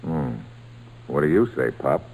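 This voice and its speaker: An actor playing an earnest, humble young ballplayer in an old-time radio drama, plain-spoken and a little hesitant. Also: male voice, very deep voice, expressive, measured pace, a little mellow, breathy edge, full character voice. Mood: puzzled, agreeable.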